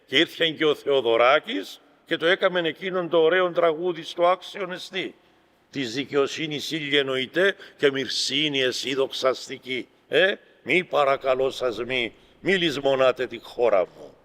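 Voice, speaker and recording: A man with a Greek Cypriot accent preaching as an Orthodox bishop, reading aloud and commenting in deliberate, emphatic short phrases. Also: mid-range voice, a bit bright. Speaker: Orthodox bishop giving a homily. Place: large reverberant room at a gathering. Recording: reverberant hall with long echo.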